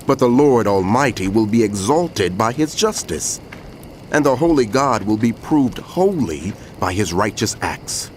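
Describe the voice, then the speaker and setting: A man's voice: lowish voice, lively intonation, unhurried, a little hiss or hum. A man playing a solemn prophet who proclaims woe in an emphatic, declamatory way in dramatized scripture narration. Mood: grave warning.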